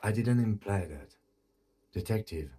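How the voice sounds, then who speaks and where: An actor playing a calm, restrained police lieutenant in a video game, speaking in a measured, formal, matter-of-fact way. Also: male voice, lowish voice, husky. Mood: questioning, polite.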